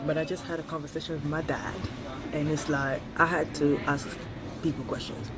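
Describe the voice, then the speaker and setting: A woman's voice: sits low, fairly even intonation, moderate pace, over music, poor audio. Thirty-something woman from Switzerland, Swiss French accent with a British tinge, French native speaker; a blunt, laughing, foul-mouthed vlog host. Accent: Swiss French, with a touch of British. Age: 30s. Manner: blunt, chatty, laughs often, swears freely. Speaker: vlogger. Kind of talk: casual opinion vlog.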